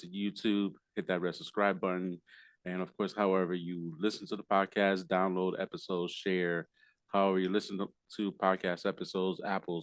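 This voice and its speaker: Hoarse, deep-voiced male podcast host. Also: no room sound. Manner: rambling with many fillers and restarts. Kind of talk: casual podcast interview.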